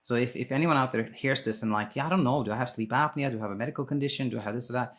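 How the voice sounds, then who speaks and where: A man's voice: medium pitch, very fast, a bit bright. A man from Sweden who works as a sleep coach, answering interview questions in an informal, explanatory way and rephrasing as he goes. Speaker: sleep coach and former sleep physician, podcast guest.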